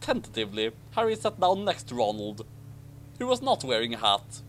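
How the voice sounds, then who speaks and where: A man reading a story aloud dramatically, with wry, deadpan asides. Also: medium pitch, fairly quick, a little hiss or hum. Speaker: reader of a comic fan fiction commentary.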